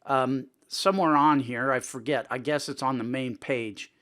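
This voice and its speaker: A man's voice: mid-range voice, fairly even intonation, normal speed, a bit bright. A man presenting a casual tutorial in a conversational way, thinking aloud as he goes. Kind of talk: casual screen-recording tutorial talk.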